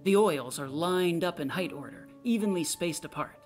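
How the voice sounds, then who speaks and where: A woman narrates an audio play, reading out stage directions in a scripted style. Her voice sits low for a woman, and faint music plays along. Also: animated, normal speed, darkish tone.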